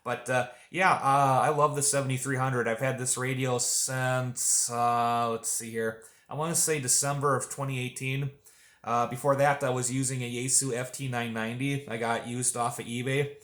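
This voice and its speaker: A man's voice: mid-range voice, little pitch movement, normal speed, fairly bright tone, roomy sound. A man chatting casually and at some length as an amateur radio operator on a contact. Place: indoors at a home radio desk.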